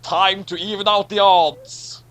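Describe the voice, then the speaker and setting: A man's voice: high voice, steady tone, slow pace, fairly bright tone, voice pushed up. A man playing a stern starship captain from a fan fiction reading, commanding and exasperated.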